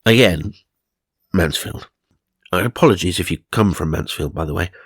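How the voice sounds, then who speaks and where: A man's voice: low voice, normal speed, husky, dry recording, clean studio sound. A man narrating supernatural magazine stories for comedy, mock-serious and dramatic, with wry asides. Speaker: narrator and host.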